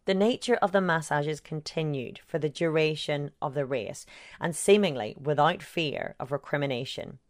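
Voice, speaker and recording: A woman journalist reading her own long-form article aloud in a measured, sober way. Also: deep voice. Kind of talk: scripted narration.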